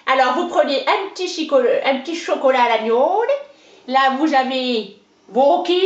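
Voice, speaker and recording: A woman playing a chatty, boastful older countrywoman with a Franc-Comtois accent, rambling in a folksy way. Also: sits high. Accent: Franc-Comtois (Haut-Doubs). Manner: folksy, rambling, peppered with "hein" and "oh ben". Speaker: interviewee. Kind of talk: comic character interview. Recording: roomy, dull lo-fi sound.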